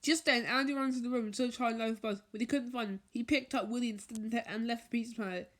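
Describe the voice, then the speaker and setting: A woman reading a children's bedtime story aloud, haltingly, stumbling over and repeating words as she goes. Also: a little high, steady tone, fairly bright tone. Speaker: storybook reader.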